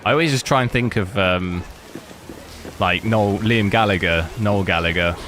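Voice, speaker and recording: A man's voice: on the low side, moderate pace, a bit bright, a little hiss or hum. A young man from the South Coast of England with a slightly Cockney southern English accent, chatting casually while streaming a game and answering viewers. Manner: rambling, chatty, answering chat. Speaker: gaming streamer. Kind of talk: casual live commentary while playing.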